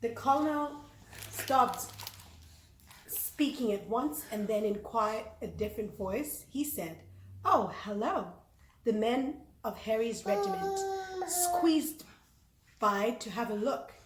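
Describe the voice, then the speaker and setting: A woman reads a children's story aloud in a slightly high voice. She takes it slowly and deliberately, leaving pauses for effect. The room echoes and some noise sits behind her. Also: expressive. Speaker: read-aloud reader. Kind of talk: expressive children's storybook narration. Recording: roomy echo, clear background noise.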